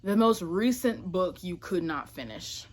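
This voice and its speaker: A woman's voice: lowish voice, expressive, measured pace. A woman talking casually to camera as a book vlogger, informal and emphatic, often correcting herself with little asides. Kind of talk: casual chatty talk to camera.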